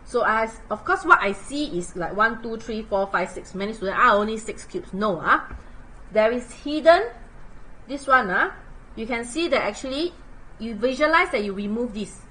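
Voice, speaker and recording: A Singaporean woman walks students through math problems in a chatty live lesson, her English sprinkled with local particles. Her pitch swings widely as she talks, and her tone is bright and forceful. A faint hiss of noise sits behind her voice. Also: normal speed, poor audio. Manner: chatty, animated, uses local particles like lah and leh. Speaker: math tutor.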